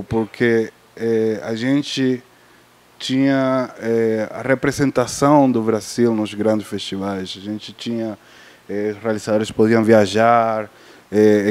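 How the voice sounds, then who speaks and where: Cuban-accented man, mellow hesitant speech. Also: mid-range voice. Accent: Cuban Spanish. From Cuba. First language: Spanish. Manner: hesitant, many fillers and restarts. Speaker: festival panelist on film distribution. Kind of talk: panel talk.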